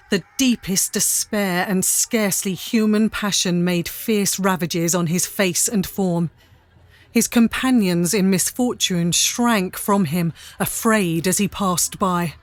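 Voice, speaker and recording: A woman narrating a serious dramatic story in a grave, deliberate manner. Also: medium pitch.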